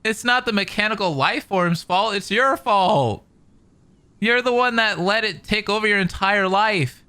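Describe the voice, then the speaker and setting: A man's voice: high voice, unhurried, bright, forceful tone, clean tone, dry recording. A man giving casual reaction commentary on a game, responding aloud with feeling.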